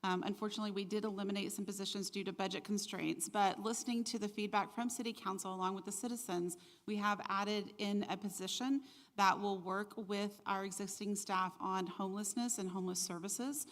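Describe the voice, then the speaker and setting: A woman on the city staff with a mid-range voice. She explains the budget in a measured, matter-of-fact way and pauses now and then with "um". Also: mid-range voice, flat delivery. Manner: measured, explanatory, some ums. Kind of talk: budget explanation at a community meeting.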